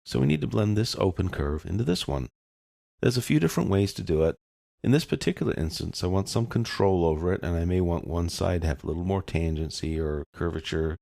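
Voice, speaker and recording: A man talks through a software lesson in a very low voice. There is plenty of fry in it, and the sound is dry and close. He explains each step informally, as an instructor would. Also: lively intonation, a little fast, no room sound. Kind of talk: step-by-step screencast lesson, casual.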